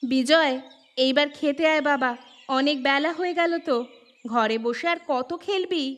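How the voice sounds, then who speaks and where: Woman as a high-voiced, nagging cartoon housewife. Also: natural voice. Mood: coaxing, exasperated. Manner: nagging, quick to retort. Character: sharp-tongued, touchy young housewife and mother. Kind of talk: Bengali cartoon story acting.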